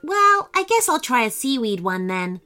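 A woman voicing a kind, curious mermaid in a children's story, gentle and encouraging. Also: on the high side, very animated, normal speed, faint music behind. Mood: unsure. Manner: bright, gentle, inquisitive.

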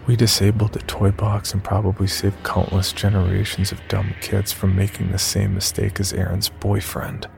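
A man with a gravelly voice reading a scripted first-person horror story as its narrator. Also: lowish voice, a little mellow. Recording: music behind the voice.

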